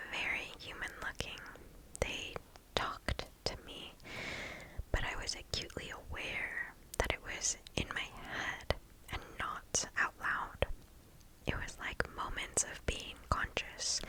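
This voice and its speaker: A woman reading a story aloud for ASMR in a hushed, unvoiced whisper, deliberate and very close to the microphone. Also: rough recording. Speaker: ASMR host.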